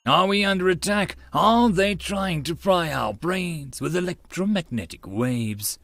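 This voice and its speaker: A man's voice: high voice, expressive, measured pace, creaky, fairly bright tone, no room sound, pitched above the performer's own voice, heavily acted. A man voicing a paranoid young self-styled mad scientist with a pompous, theatrical delivery. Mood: alarmed.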